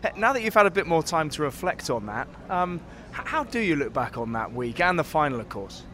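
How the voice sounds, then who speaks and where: A man's voice: on the high side, very animated, a little fast, fairly bright tone, slight background noise. A man interviewing a young tennis player outdoors, asking friendly, conversational questions with small restarts.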